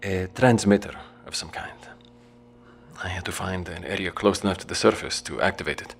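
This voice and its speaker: A man playing a guarded low-level male operative who speaks in a quiet, terse way, in an audio drama. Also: a little fast, gravelly, a little hiss or hum.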